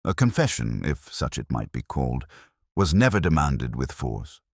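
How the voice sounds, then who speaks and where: A man playing a contemplative, observant detective inspector who narrates his case in measured, formal, literary language. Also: a bass voice, very animated, vocal fry, slightly rough, dry recording, studio quality, heavily acted. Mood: hushed, watchful. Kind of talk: scripted first-person detective story narration.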